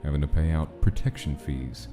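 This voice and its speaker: A man's voice: very deep voice, moderate pace, music in the background. A man reading scripted narration of a gritty gangster-era story in a comic dub. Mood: brooding, measured.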